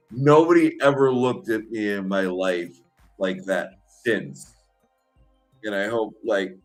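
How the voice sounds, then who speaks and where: A man hosting a call-in show, telling a crude story in a rambling, casual way with lots of fillers. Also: fairly low pitch.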